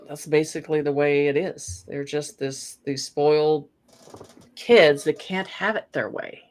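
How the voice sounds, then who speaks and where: Rambling, animated chat guest. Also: medium pitch, measured pace. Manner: rambling, animated, full of you-knows. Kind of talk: casual chat.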